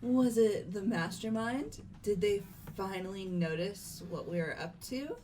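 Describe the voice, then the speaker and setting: A woman's voice: mid-range voice, a little slow, dark tone, faint music behind, natural voice. A woman playing a breezy, curious young female student with casual, eager delivery in an amateur game dub. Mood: curious, surprised. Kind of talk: amateur fully voiced game playthrough.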